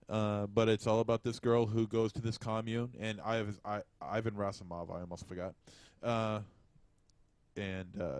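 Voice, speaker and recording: Low-pitched man, halting podcast chat. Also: darkish tone, dry recording. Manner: halting, hesitant delivery. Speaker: podcast co-host. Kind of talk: casual movie review chat.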